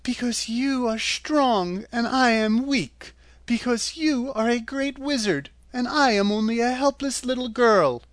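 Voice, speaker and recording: A man voicing a helpless little girl who pleads and sobs in a high voice. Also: a little slow, no echo. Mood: pleading. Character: girl Dorothy, small, helpless and tearful.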